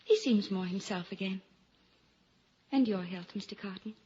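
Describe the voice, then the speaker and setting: An actress playing a gentle, compassionate young gentlewoman in an old-time radio drama, earnest and melodramatic in delivery. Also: mid-range voice, normal speed, natural voice. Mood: gently polite. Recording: dull, noisy vintage radio recording.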